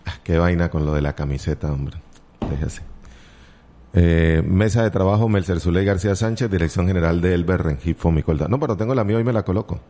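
A man's voice: low-pitched, brisk, warm tone. A man with a Colombian accent hosting casual morning radio from a studio, chatty and joking with teasing asides. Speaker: radio host.

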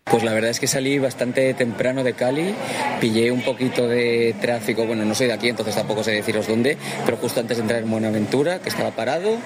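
A man from Spain with a Castilian accent, a visiting tourist answering questions in a street interview in an unhurried way. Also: mid-range voice, fairly even intonation, crowd noise. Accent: Spanish from Spain.

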